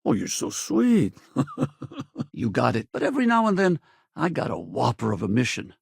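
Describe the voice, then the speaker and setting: Gravelly space veteran. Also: male voice, medium pitch, wildly swooping pitch, moderate pace, no echo, studio quality, exaggerated voice. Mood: friendly, wry. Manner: casual, folksy, wry. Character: easygoing, gruff middle-aged spacefaring militia veteran and regretful father. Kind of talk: video game companion dialogue.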